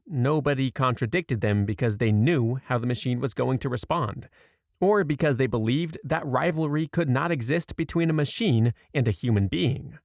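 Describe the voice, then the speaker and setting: A man narrating a short story aloud in a measured, clearly read storytelling delivery. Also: medium pitch, no room sound, clean studio sound.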